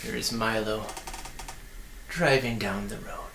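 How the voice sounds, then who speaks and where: A man reading a children's story aloud in an expressive, deliberate way, with friendly asides to the listener. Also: fairly low pitch, gravelly, echoey room, noisy background. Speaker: storybook reader.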